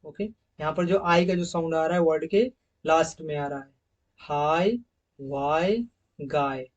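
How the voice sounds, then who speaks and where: A man teaching English phonetics over slides, repeating each example word several times in a loud, drawn-out way. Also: on the high side, lo-fi sound. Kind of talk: slide-based phonetics lesson.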